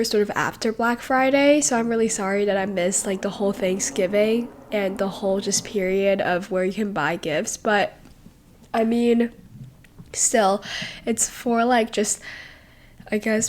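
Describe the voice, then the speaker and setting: A woman's voice: mid-range voice, darkish tone. Young woman host, sick with a cold. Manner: rambling, many fillers and pauses. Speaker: podcast host. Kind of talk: casual solo podcast talk.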